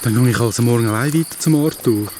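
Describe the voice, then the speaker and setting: A woman's voice: rumbling low, fast talker, dark tone, a little hiss or hum. A woman voicing a loyal, easily frightened young boy in a children's radio play, with a Swiss German accent. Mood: downcast, puzzled.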